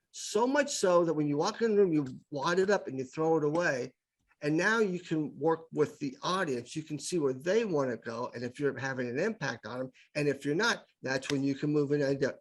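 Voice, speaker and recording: A middle-aged man, a podcast guest, telling stories with enthusiasm and often repeating words as he builds his point. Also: on the high side. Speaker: podcast guest, improv speaker. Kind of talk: casual conversational storytelling.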